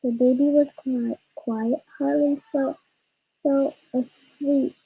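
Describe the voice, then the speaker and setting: A young girl reading a story aloud haltingly, stumbling and repeating words. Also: high voice, steady tone, gravelly, mellow, rough recording. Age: child. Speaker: child co-reader of a storytime.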